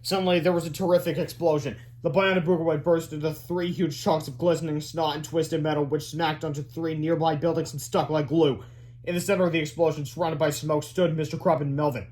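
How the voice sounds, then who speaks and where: A man narrates a children's book in a read-aloud style. His voice is a little higher than average for a man. The delivery is expressive storytelling. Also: a little fast.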